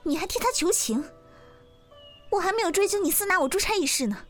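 A stern young wife of an old Chinese household, acted by a woman, speaks in a high voice over background music. She is haughty and quick to accuse, with the commanding bearing of a jealous mistress in a period audio drama. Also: lively intonation, fairly bright tone. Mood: angry, indignant.

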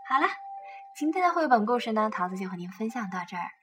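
A woman's voice: on the high side, sing-song, quick pace. A woman hosting a children's program, telling a picture-book bedtime story expressively and coaxing young listeners along. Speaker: children's storytelling show host. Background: music.

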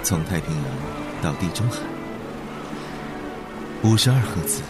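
A man playing a gentle, solitary whale in a radio drama, narrating calmly and reflectively about his wandering life. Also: low-pitched. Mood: tender, contented. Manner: calm, unhurried, reflective storytelling. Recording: music under the voice.

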